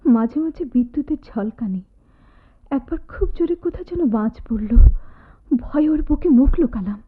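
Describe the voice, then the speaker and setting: A woman's voice: on the high side, expressive, close to an everyday voice. An actress playing an anguished, bitter young woman in an emotive, theatrical style of radio drama. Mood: wistful, narrating. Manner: emotive, dramatic, halting repetitions. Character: anguished, bitter young woman, disfigured, speaking to her former love. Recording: tinny, phone-like old radio drama recording, noisy.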